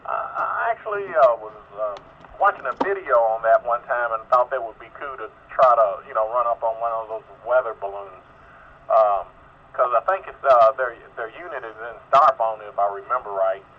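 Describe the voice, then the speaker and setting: A man's voice: mid-range voice, normal speed. A man chats casually and in a friendly way as an amateur radio operator. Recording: tinny narrow radio link, faint noise.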